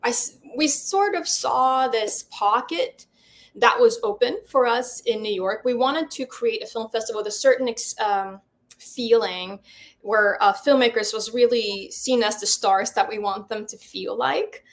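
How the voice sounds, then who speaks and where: A woman's voice: fairly high pitch, unhurried. A middle-aged woman from Sweden, a Swedish native speaker and film festival founder, answers interview questions in a thoughtful way, with many fillers and restarts. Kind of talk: interview guest.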